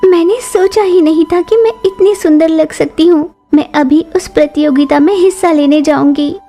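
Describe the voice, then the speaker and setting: A woman's voice: very high-pitched, moderate pace, warm tone, faint music behind, voice pushed up. A woman voicing an earnest, plaintive young female bird in a children's cartoon. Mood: delighted. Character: sorrowful, virtuous young female bird, beauty contestant. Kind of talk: Hindi children's cartoon voice acting.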